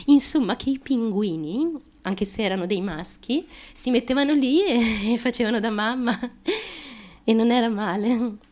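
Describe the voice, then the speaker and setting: A woman's voice: on the high side, a little mellow. An actress performing a theatrical monologue as a fragile, anxious, childlike young woman, stammering and repeating words as she confides feverishly. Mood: tender, nostalgic. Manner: halting, stammering, repeats words, nervous giggles. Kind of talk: theatrical stream-of-consciousness monologue.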